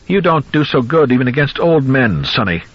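A man voicing an enraged, grieving old man who hectors and screams, with a husky voice on a dull recording. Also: fairly low pitch, expressive, fairly quick. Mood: frenzied. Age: older. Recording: dull lo-fi audio.

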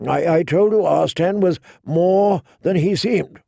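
A man reading an audiobook, voicing a pleading aging male knight who talks haltingly and earnestly, sometimes stammering. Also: high-pitched, gravelly, warm tone, dry recording, studio quality, pitched above the performer's own voice, full character voice. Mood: defensive.

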